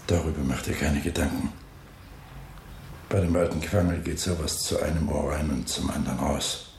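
Very deep, gravelly weary old father. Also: heavily acted. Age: older. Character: weary, guarded old working-class father in wartime Germany. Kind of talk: radio drama acting. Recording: roomy, with noisy ambience behind.

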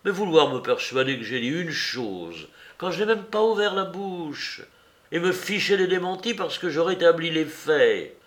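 A man's voice: on the high side, very animated, normal speed, a bit bright, voice pushed up. A man reading aloud and voicing a young male clerk who speaks in a dry, offhand, dismissive way. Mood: scornful. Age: young adult.

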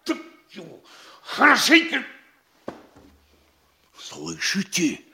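Актёр в театральной манере радиоспектакля играет немолодого провинциального городничего, который то заискивает, то командует, хрипловатым голосом. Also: male voice, high-pitched, sing-song, slow pace, gravelly, bright, forceful tone, breathy edge, heavily acted. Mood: commanding, gruff. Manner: theatrical, shifting from ingratiating to commanding. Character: blustering, wheedling middle-aged town mayor, provincial Russian official. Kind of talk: theatrical radio-play acting of classic comedy.

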